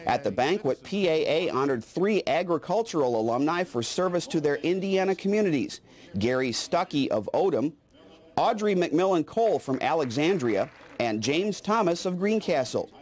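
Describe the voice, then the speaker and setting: A man's voice: on the high side, sing-song, moderate pace, a little mellow. A man reading scripted newsreel narration as the narrator.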